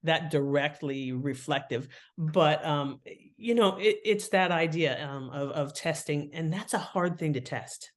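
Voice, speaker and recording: A woman's voice: low-pitched, lively intonation, measured pace, hardly any pauses. Female guest author, conversational interview delivery, instructive, example-led. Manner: instructive, illustrates points with examples. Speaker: guest author and writing teacher.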